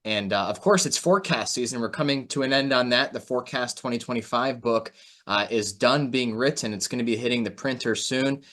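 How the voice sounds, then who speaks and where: A man with an average-pitched voice hosts a market podcast. He talks in a casual, conversational way, as a presenter chatting with a guest and viewers. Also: fairly quick, fairly bright tone. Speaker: podcast host and market analyst. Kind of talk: casual interview hosting with announcements.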